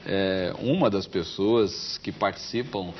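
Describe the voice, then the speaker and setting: A man's voice: low-pitched, slow. A man hosting a TV talk show, introducing his guest and prompting her with short questions. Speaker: TV host. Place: TV studio set. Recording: dull lo-fi TV broadcast with faint hiss.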